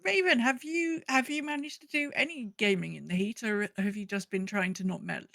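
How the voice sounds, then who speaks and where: A woman's voice: mid-range voice, brisk, gravelly, a bit bright, no room sound, studio quality. A woman hosting a gaming podcast in casual, conversational chat. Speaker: podcast host.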